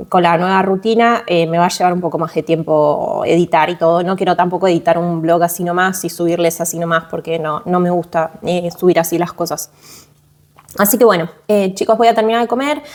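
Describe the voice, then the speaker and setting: A woman's voice: fairly low pitch, darkish tone. Chatty Argentine woman vlogging at home. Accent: Argentine Spanish. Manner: chatty, many fillers and restarts. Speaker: vlogger and mother. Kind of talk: casual chat to camera. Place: at home, indoors.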